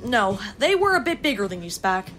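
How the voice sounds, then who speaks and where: A woman's voice: fairly high pitch, very animated, brisk, loud music behind the voice. A woman playing a plain-spoken, loyal young country mare in a fan cartoon, earnest, with a Southern US accent. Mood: uneasy, earnest. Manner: folksy, earnest.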